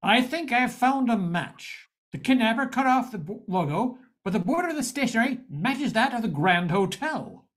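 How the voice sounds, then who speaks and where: A woman's voice: fairly high pitch, big pitch swings, normal speed, few pauses. A woman playing an earnest, resourceful male private eye in an old-time radio detective drama.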